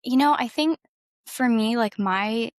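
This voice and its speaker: A woman's voice: a little high, unhurried, no room sound, clean studio sound. A woman chatting casually as an interview guest, informal and effusive, peppering her sentences with "like". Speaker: interview guest, singer-songwriter. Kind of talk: casual chat.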